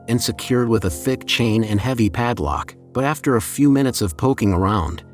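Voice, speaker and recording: A man with a fairly low voice reads a scripted creepypasta in the first person. His delivery is measured and deliberate, like a storyteller laying out each detail. Music plays clearly behind his narration. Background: background music.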